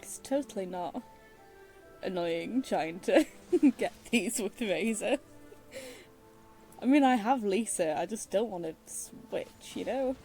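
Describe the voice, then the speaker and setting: A woman's voice: medium pitch, lively intonation, slow, pauses often, mellow, faint music behind. A woman streaming a video game with casual, rambling commentary, giggly and often interrupting herself. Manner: giggly, self-interrupting, meandering.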